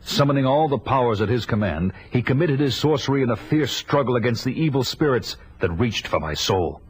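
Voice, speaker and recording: A man's voice: medium pitch, lively intonation, a little fast, slight background noise, close to an everyday voice, poor audio. A man playing a brooding barbarian warrior, solemnly telling his tale of vengeance in a comic adaptation. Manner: grave, solemn, portentous. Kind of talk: dramatic first-person storytelling for a comic adaptation. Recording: loud film score behind.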